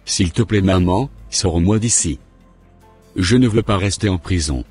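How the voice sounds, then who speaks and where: A man playing a wayward, sheepish young swindler with a husky voice in an amateur cartoon, music behind him. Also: low voice, measured pace, a little mellow. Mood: fearful pleading. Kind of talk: amateur animated drama acting. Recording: background music.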